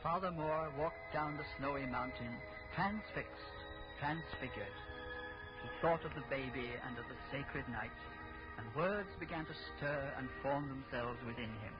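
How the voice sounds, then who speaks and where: A solemn radio storyteller, played by a man, narrating reverently in a mid-pitched voice. It sounds like a muffled vintage broadcast with loud music underneath. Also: a little slow, close to an everyday voice. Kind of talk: old-time radio scripted narration.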